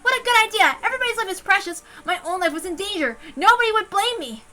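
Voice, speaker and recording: Woman playing a frantic, high-pitched wounded man, pleading. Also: lively intonation, fast talker, full character voice. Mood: agitated, pained. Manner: desperate, shouted pleading.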